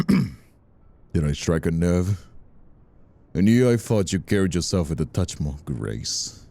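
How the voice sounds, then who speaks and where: An actor playing a menacing, condescending mobster villain in an audio roleplay, taunting with a drawl. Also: male voice, low voice, very animated, slow, creaky, warm tone, full character voice. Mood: commanding, scornful. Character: menacing, mocking ambitious mobster villain, adult man. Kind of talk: dramatic audio roleplay acting.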